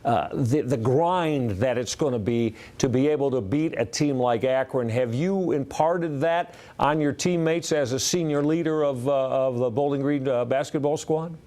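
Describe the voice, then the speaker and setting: Male American sports host, mid-pitched, wordy and conversational, circling into long halting questions. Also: a little mellow. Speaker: sports show host interviewing a guest.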